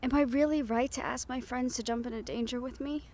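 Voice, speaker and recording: A woman playing a gentle, teasing but worried young siren in an audio roleplay, speaking softly and intimately to the listener. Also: a little high, fairly even intonation, a little fast. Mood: anxious, troubled.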